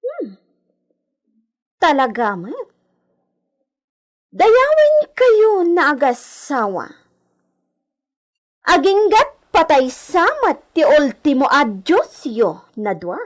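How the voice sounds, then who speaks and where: A woman's voice: sits high, wildly swooping pitch, very slow, long pauses, full character voice. A woman playing an imperious young heiress in a radio drama, with an Ilocano accent and a commanding, haughty manner peppered with Spanish words.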